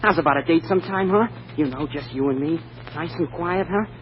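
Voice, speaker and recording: A man's voice: on the high side, a little fast, lightly acted. Man as a flirty office guy, muffled radio. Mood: flirtatious. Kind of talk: 1940s radio comedy acting. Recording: muffled, noisy vintage radio recording.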